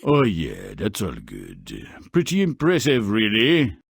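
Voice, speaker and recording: A big ogre lord from a storybook game, gruff and very pleased with himself. He takes his time, and the pitch heaves up and down dramatically. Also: big pitch swings, takes it slowly, no echo, studio quality, exaggerated voice. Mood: smug. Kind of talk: theatrical video game fairy tale acting.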